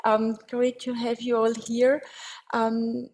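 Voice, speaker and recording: Slow, careful Austrian-accented woman presenter. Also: fairly high pitch, monotone, darkish tone, slight background noise. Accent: Austrian German. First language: German. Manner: hesitant, many ums and restarts, careful. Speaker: webinar presenter. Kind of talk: slide presentation on webinar.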